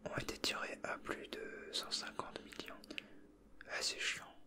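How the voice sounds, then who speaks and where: A man whispering softly in a casual ASMR style, with a breathy, hushed voice. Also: unhurried. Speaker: ASMR video creator. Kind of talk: whispered ASMR, chatting and reading aloud from a booklet.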